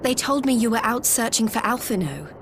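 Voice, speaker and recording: A woman's voice: a little high, lively intonation, brisk, noisy background. A woman playing an earnest, plainspoken young woman fighter in a video game cutscene. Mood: concerned, earnest.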